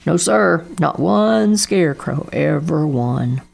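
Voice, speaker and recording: A woman's voice: deep voice, animated, slow, gravelly, darkish tone, exaggerated voice. A woman voicing a gloomy, resigned father scarecrow.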